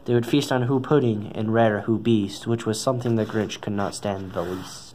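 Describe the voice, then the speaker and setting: A man's voice: on the low side, fairly even intonation, moderate pace, darkish tone. A man reading a children's story aloud, stumbling now and then over the words. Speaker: storybook reader. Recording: noisy amateur recording.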